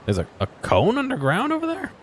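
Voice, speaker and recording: A man's voice: extremely high pitch, wildly swooping pitch, quick pace, a little hiss or hum. A man giving casual, unscripted gameplay commentary, thinking aloud as he explores. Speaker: video game explorer and commentator.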